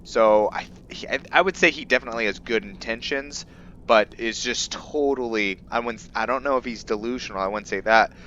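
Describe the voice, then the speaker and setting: A man giving casual game commentary with roleplay lore, thinking aloud in a halting way. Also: medium pitch, a bit bright, highs cut off, slight background noise. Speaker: gamer hosting a roleplay let's play.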